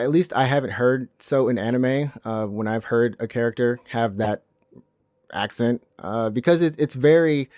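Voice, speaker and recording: A man from Alabama, in a mid-range voice, chats casually on a podcast and talks in quick bursts with restarts. He is recorded dry and close on a studio mic. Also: mid-range voice, a little mellow, dry recording. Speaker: podcast co-host. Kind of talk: casual chat.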